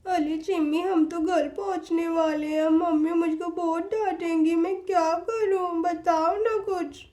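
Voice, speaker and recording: A woman's voice: extremely high pitch, fairly even intonation, unhurried, warm tone. A woman voicing a mischievous little schoolgirl in a children's story, whiny and pleading with a babyish lisp. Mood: whining, worried.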